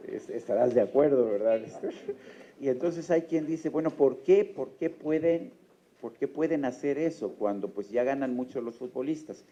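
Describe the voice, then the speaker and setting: A man's voice: mid-range voice, moderate pace, warm tone, muffled recording. A man moderating a public presentation, speaking in a rhetorical way with many fillers.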